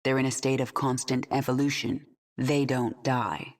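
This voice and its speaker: A woman's voice: a bass voice, steady tone, normal speed. An actress playing a vengeful, defiant queen of an alien swarm in a video game, commanding, sardonic and resolute. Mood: watchful. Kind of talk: video game cinematic acting. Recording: music clearly behind the voice.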